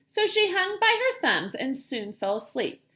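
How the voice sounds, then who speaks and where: A woman reading a children's storybook aloud like a teacher, in an expressive narrating style. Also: high voice, a little slow.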